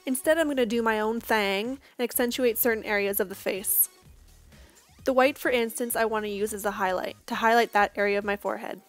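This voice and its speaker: A young woman, hoarse from losing her voice, giving a casual step-by-step makeup tutorial voice-over. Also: on the high side, brisk, faint music behind. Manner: casual, chatty, reassuring.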